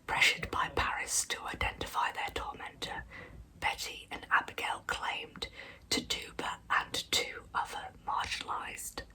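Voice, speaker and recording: Slow, whispering ASMR woman storyteller. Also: low-quality recording. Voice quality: soft, breathy unvoiced whisper. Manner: measured reading with wry, informal asides. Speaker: ASMR storyteller and channel host. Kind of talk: whispered ASMR true crime narration.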